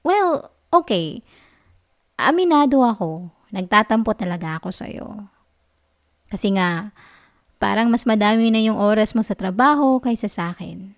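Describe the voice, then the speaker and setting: A young woman with a Filipino accent playing a sweet, clingy, gently sulking girlfriend in an intimate ASMR roleplay, soft and whiny in her affectionate pleading. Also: medium pitch, expressive, unhurried, long pauses, no room sound, clean studio sound. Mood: sulky, pouting.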